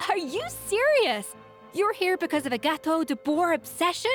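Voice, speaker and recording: Heroic lady chef, extremely high voice, swooping intonation, indignant, urgent game dialogue. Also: sing-song, moderate pace, a bit bright, exaggerated voice. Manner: urgent, incredulous, confrontational. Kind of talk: dramatic video game voice acting. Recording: game dialogue over background music.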